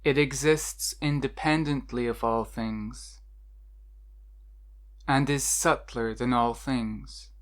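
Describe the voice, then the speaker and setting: A meditation guide narrating a scripted guided meditation in a measured, instructional way with deliberate pauses. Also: medium pitch, fairly even intonation.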